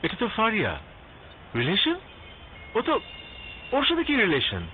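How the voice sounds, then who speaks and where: A man's voice: high voice, wildly swooping pitch, normal speed. An actor playing an exasperated young man in a TV drama, defensive yet coaxing, with a Bangladeshi accent. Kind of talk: TV drama acting. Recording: thin phone-like sound, faint noise.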